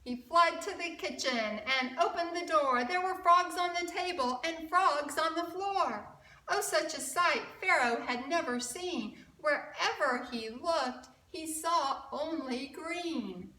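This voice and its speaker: A woman reads a children's story aloud in a bright, slightly high voice. She is expressive and takes her time, addressing young listeners directly. The recording is roomy, with the mic at some distance. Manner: expressive, deliberate, asks listeners questions. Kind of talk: animated picture-book read-aloud.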